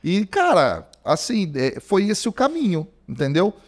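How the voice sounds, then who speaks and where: A man's voice: sits high, measured pace. A Brazilian man around forty, a theology professor talking as a podcast guest, telling his story casually with lots of fillers. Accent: Brazilian Portuguese. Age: 40s. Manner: animated storyteller, many fillers like "cara". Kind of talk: conversational podcast interview. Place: podcast studio. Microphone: studio microphone.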